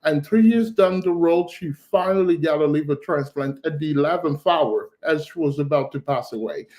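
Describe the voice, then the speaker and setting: A middle-aged man on a panel, telling his family's asylum story in measured, formal wording. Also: fairly high pitch, a little mellow.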